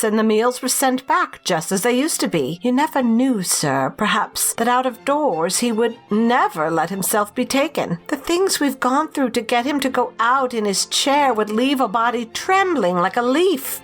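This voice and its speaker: A woman's voice: fairly high pitch, fairly bright tone. A woman playing a flustered middle-aged housekeeper who answers her master hesitantly and deferentially, as in an amateur audio drama.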